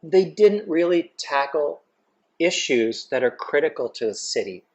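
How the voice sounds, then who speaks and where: A man's voice: a little high, lively intonation, a little slow. A man with a Canadian accent from Toronto, talking casually as an interview guest. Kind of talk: casual conversation.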